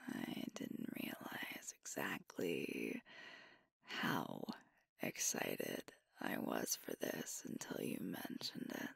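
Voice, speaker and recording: An affectionate, teasing werewolf girlfriend, voiced by a woman in an intimate ASMR roleplay. Her soft voice is very low and full of creak, recorded close and dry. She lingers tenderly over her words with playful pauses. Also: big pitch swings, no room sound, exaggerated voice. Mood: tender, grateful. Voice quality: soft, breathy, whispery. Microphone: close microphone.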